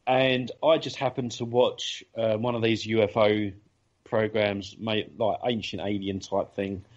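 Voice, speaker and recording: A man's voice: on the low side, little pitch movement, measured pace. A man hosting a casual radio chat show, talking with many ums and restarts. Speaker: podcast host. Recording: dull lo-fi audio.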